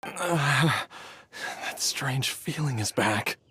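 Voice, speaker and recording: A man's voice: medium pitch, lively intonation, drawn-out words, bright, forceful tone, a little hiss or hum, heavily acted. An actor playing a weary, ailing, doggedly determined man in a game, with a strained, dramatic delivery. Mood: weary, uneasy. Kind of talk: scripted game voice acting. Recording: studio voice over game music.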